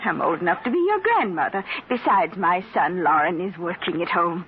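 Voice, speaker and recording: A woman's voice: lively intonation, moderate pace, natural voice. An actress playing a sly elderly woman who sweetly coaxes a visitor and poisons him, in an old radio drama. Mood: sweetly coaxing. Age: older. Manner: coaxing and insistent, turns shrill when calling out. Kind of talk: 1950s radio drama acting. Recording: muffled old radio broadcast with hiss.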